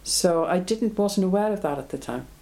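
Woman, 70s, Belgian-born, low mellow voice, reflective oral history interviewee. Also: expressive, moderate pace, creaky. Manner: reflective, plain-spoken, frequent ums.

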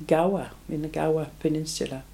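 A woman's voice: low-pitched, fairly even intonation, brisk, vocal fry, dark tone. A woman in her seventies, born in Belgium, recalling her life in an oral history interview, reflective and plain-spoken. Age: 70s.

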